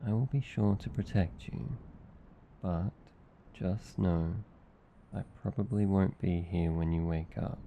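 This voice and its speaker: A man with an Australian accent playing a gentle, affectionate, protective demon in an intimate, soothing ASMR roleplay. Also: deep voice, creaky, highs cut off, slight background noise, exaggerated voice. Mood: soothing, sleepy. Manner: soft, intimate, soothing, teasing. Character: gentle, affectionate male sleep paralysis demon, protective comforter.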